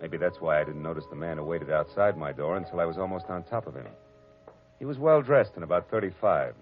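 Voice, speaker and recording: A man's voice: sits low, animated, quick pace, faint music behind. An actor playing a hard-boiled male private detective who narrates with a dry, wry delivery in a 1940s radio drama. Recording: muffled old radio broadcast.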